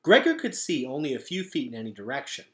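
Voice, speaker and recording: Guy reading a kids novel out loud, super expressive n animated, bright voice. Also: medium pitch, quick pace. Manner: dramatic, expressive storytelling. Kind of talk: animated children's book narration.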